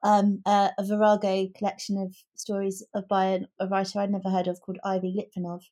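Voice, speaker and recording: Woman chatting casually, medium-pitched voice. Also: monotone, fairly quick, warm tone. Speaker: podcast co-host. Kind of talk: casual book chat.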